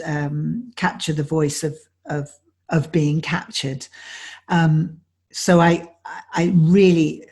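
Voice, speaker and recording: A woman being interviewed as an actor and director, chatting reflectively with a slightly husky voice. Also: sits low, darkish tone.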